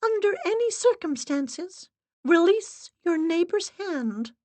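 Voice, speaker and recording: A strict, commanding assistant at a séance, put on by a woman narrator. She gives orders like a disapproving headmistress, high and forceful in a slow, dry storybook read. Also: expressive, no echo, exaggerated voice. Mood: stern. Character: stern female medium's assistant, commanding.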